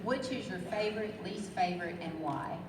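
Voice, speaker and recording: A woman's voice: mid-range voice, normal speed. A woman fan at a convention, asking a question in a friendly, slightly hesitant way. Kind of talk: audience question at a panel. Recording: echoey hall, distant, crowd noise.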